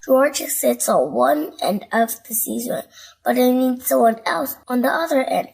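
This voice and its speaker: A woman reading a bedtime storybook aloud to young children in an expressive, scripted narration style. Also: sits high, unhurried, gravelly, slightly rough, poor audio.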